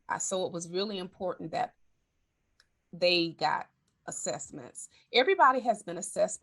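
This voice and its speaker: A woman's voice: on the low side, creaky, pushed and bright. A woman in her forties hosting a podcast, talking candidly in a chatty way and correcting herself as she goes. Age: 40s. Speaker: podcast host. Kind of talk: casual conversation.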